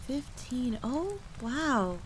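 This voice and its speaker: A woman playing a kind, motherly field medic who comforts a child gently and reassuringly in an audio drama. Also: high-pitched, very slow, full character voice. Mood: impressed, kind. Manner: gentle, reassuring, sweetly encouraging. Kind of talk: acted audio drama storytelling. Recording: steady rain noise behind the voice.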